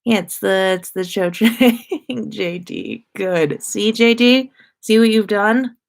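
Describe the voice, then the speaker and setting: A woman's voice: medium pitch, takes it slowly, gravelly. A woman hosting a casual livestream, chatting informally and greeting her listeners. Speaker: livestream host and podcaster.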